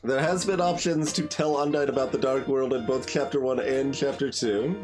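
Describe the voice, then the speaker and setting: Male game streamer, middling pitch, slow and reflective delivery, chatting casually over clearly audible music. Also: fairly even intonation. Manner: musing, halting, thinking aloud. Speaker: livestreamer, game playthrough host. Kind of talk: casual game discussion and commentary, chatting with viewers.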